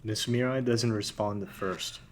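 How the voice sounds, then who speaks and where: A man reading out scene directions in an even, matter-of-fact way at a table read. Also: on the low side, measured pace.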